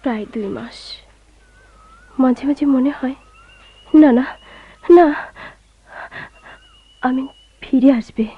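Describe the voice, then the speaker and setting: A woman's voice: sits high, slow pace, pauses often, slightly rough, exaggerated voice. An actress playing a grieving young woman who waits for her lost lover, with poetic, theatrical delivery in a radio drama. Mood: wistful, haunted. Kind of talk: Bengali radio drama acting. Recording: dull, lo-fi, noisy old radio recording.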